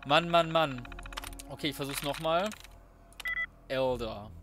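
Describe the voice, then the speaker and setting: A man's voice: medium pitch, takes it slowly. A young adult man giving casual, slangy let's play commentary, often muttering to himself as he plays. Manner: colloquial, slangy muttering to himself while playing. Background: loud game music, typing and gunfire.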